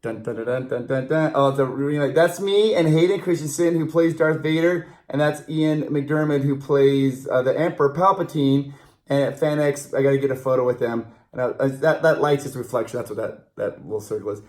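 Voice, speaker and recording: Middle-aged man in casual, animated chat. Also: mid-range voice, fairly quick. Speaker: co-host of a theme park fan vlog. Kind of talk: casual chat, answering fan questions. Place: indoors, small room in front of a backdrop.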